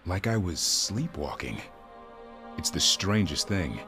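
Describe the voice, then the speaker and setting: Relic-built game creature, very deep, slow, contemplative, music behind. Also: male voice, sing-song, bright, forceful tone, heavily acted. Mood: pensive, puzzled. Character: male creature made of ancient relics, calm and pensive. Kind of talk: video game cutscene acting.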